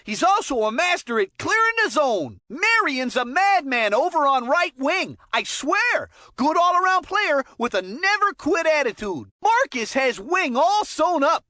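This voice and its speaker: An actor voicing an excitable kid sports commentator in a cartoon hockey game, calling players with folksy enthusiasm. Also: sits high, no room sound, studio quality, heavily acted.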